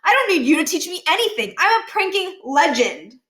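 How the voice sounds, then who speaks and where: A woman's voice: very high voice, very animated, brisk, a bit bright, pitched above the performer's own voice, full character voice. A woman voicing a hot-headed, boastful schoolboy prankster in an indignant, emphatic storybook read-aloud. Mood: offended.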